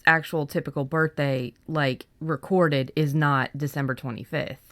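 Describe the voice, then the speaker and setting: A woman with a low voice chats as a podcast co-host. She is informal and blunt in her language, keeping things casual. The recording is close and dry, free of room sound. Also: fairly even intonation, normal speed, fairly bright tone, dry recording. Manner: informal, salty-tongued. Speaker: podcast co-host on fiction writing. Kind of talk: casual chat.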